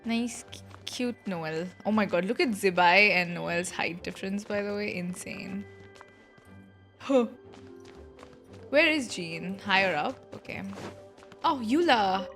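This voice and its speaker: A woman's voice: mid-range voice, expressive, unhurried, pauses often, a bit bright. A playful, slangy woman giving casual reaction commentary as she streams a game, with music underneath.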